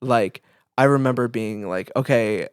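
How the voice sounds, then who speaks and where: A man's voice: medium pitch, normal speed, no room sound, clean studio sound. A man co-hosting a podcast, telling things in a loose, slangy, conversational way full of filler words. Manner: informal, slangy, peppered with "like". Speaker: podcast co-host; photographer. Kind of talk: casual anecdotal chat.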